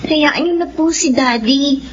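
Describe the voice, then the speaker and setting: Brief: a polite young daughter, tiny very high voice, radio serial, muffled and noisy recording. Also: female voice, unhurried. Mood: sweet, polite. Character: sweet dutiful young daughter, a little girl. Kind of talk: Philippine radio horror drama acting. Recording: dull lo-fi radio audio, noisy.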